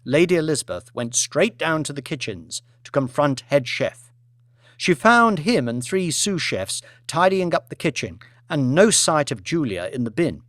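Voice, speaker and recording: Guy narrating an audiobook, lively dramatic delivery, slightly high voice, really dry close mic. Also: no echo.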